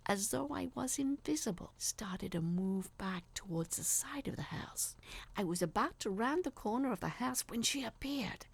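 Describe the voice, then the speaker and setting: A woman narrating a scripted horror story as an awkward, obsessive young male peeping Tom, in a confessional, dramatic manner with breathy drops. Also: a little high, heavily acted. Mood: hushed, tense.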